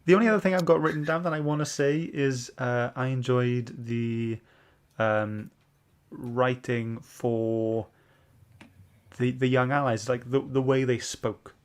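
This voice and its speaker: Mid-pitched man, hesitant podcast chat. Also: steady tone, measured pace. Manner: hesitant, searching for words. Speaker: podcast book club host. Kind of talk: casual comic book discussion.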